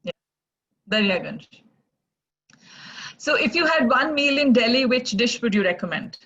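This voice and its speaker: A woman's voice: fairly high pitch, very animated, measured pace, fairly bright tone, lo-fi sound. A woman interviewer asking short, polite questions. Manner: brief, polite prompts.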